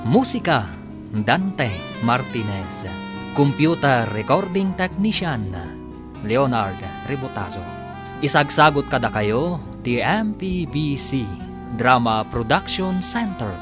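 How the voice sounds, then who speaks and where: A man reading a scripted radio drama announcement in a dramatic, measured manner. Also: mid-range voice. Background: music, guitar.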